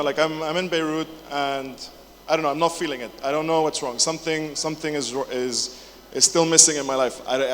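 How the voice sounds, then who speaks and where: A man's voice: a little high, a bit bright. A man in his twenties giving a casual, jokey conference talk, full of fillers, restarts and swearing. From England. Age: 20s. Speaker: conference speaker. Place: large conference hall, indoors. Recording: echoey hall with faint noise.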